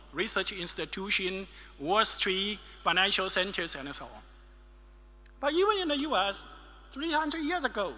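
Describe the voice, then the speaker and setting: A middle-aged man giving an academic keynote lecture at a podium microphone in a large ornate hall, speaking emphatically in short measured phrases. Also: sits high, sharp tone. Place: large ornate wood-panelled hall, indoors.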